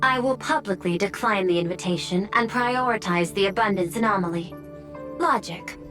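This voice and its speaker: Woman as corporate official, formal, analytical, clipped, mid-pitched, anime game, music behind. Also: moderate pace, a bit bright, close to an everyday voice. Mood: coolly logical. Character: precise, coolly logical female corporate official.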